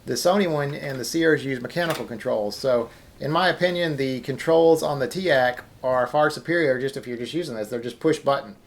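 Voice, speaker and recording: A man's voice: medium pitch, fairly quick, slight background noise. A man hosting a video, giving a casual, informal and somewhat hesitant unscripted demonstration as he talks. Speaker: video host.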